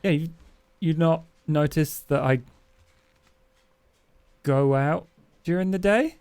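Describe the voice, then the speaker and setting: A man's voice: fairly high pitch, takes it slowly, a little mellow, clean tone. A man playing his character in a tabletop game, laid-back and rambling, with many fillers. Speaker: player. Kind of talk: in-character roleplay.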